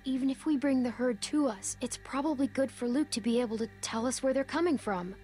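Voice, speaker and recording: A woman playing a young girl in a survival game drama. The voice is fairly high, soft and earnest, brave and sensible, with music behind it. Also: fairly quick, lightly acted. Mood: matter-of-fact. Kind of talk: dramatic video game acting.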